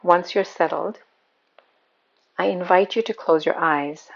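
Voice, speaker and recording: A woman leads a guided meditation in a calm, soothing way. Her voice sits on the low side and has a strong creak of vocal fry. The recording is a little dull and muffled, with a lo-fi sound. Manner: calm, soothing, unhurried instruction. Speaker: yoga nidra meditation guide.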